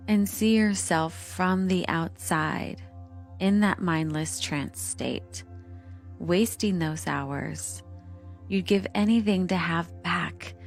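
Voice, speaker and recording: Female meditation narrator, lowish voice, very unhurried, soothing and hypnotic scripted read with soft music underneath. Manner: measured instructional phrasing with lingering pauses. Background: calming meditation music.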